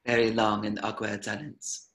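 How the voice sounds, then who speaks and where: Bright, even-toned man narrating. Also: lowish voice, normal speed, slight background noise. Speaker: narrator reading stage directions. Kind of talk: scripted narration at a table reading.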